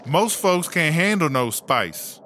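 A man's voice: fairly high pitch, very animated, slow pace, sharp tone, a little hiss or hum, exaggerated voice. A man playing a sassy, bossy, streetwise female prisoner in a comedy audio drama, with slangy, exclamatory drawling talk.

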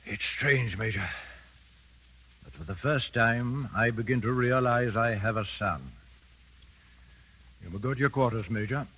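A stern older colonel, old radio. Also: male voice, medium pitch, unhurried, pauses often, bright, forceful tone, breathy edge. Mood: subdued. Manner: clipped, formal, commanding. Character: stern, duty-bound British colonel, father hiding his feelings. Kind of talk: 1930s radio drama acting. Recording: dull vintage 1930s radio broadcast.

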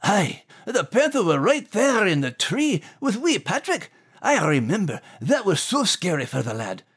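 Husky, excitable Scottish character. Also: male voice, high voice, measured pace, exaggerated voice. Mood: earnest, uneasy.

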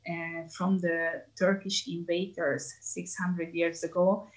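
A woman's voice: lowish voice, level tone, slow, rough recording. A woman from Hungary with a Hungarian accent, a tour guide answering interview questions in a chatty way with many hesitations.

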